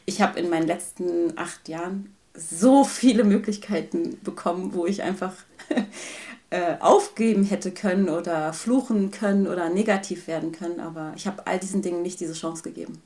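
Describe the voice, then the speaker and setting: A woman from Germany, a guest in an interview, talking about her life in a thoughtful, hesitant way with many pauses. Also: on the low side, warm tone. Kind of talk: personal conversation.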